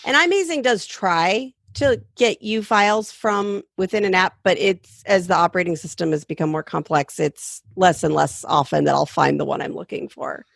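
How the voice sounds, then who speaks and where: A woman's voice: on the low side, normal speed, vocal fry, hardly any pauses, no echo, studio quality. A woman chatting casually about tech as a guest on a podcast.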